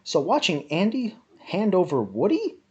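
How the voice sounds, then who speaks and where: Melodramatic woman with wildly swooping pitch. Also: huge pitch swings, dark tone, dull audio. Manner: melodramatic, overwrought, with drawn-out emphatic phrases. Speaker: reader of a written film review. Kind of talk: theatrical dramatic reading.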